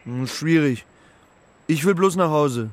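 An actor in a children's radio play voicing a naive, homesick young moose who talks in a simple, halting, literal way. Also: male voice, on the high side, lively intonation. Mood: puzzled, earnest. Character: young male moose, homesick, naive, earnest talking animal.

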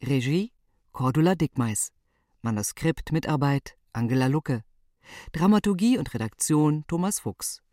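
Male announcer, scripted radio drama credits, high-ish for a man, dry studio sound. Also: lively intonation, measured pace, dark tone, no room sound.

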